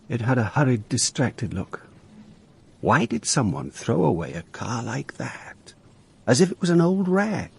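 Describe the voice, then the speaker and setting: Brooding detective inspector in a radio play, bit husky, clipped n wry. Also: male voice, medium pitch. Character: male Dutch police inspector, brooding, observant, brusque, wry. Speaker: lead actor and narrator. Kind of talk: radio drama acting and first-person narration.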